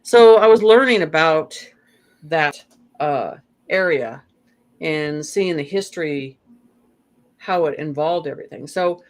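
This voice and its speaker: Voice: medium pitch, lively intonation, slow, long pauses, poor audio. A guest in a casual spiritual conversation, rambling along with lots of "you know" and restarts.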